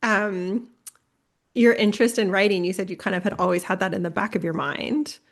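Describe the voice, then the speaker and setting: A woman's voice: medium pitch, fairly even intonation, fairly quick. Encouraging woman podcast host. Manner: encouraging, frequent backchannels. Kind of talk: interview questions and listening.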